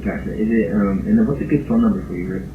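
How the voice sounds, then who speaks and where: A low-voiced male car salesman on a tinny, noisy phone line. He explains casually to a customer, somewhat hesitant and rambling, with echo around his voice. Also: fast talker.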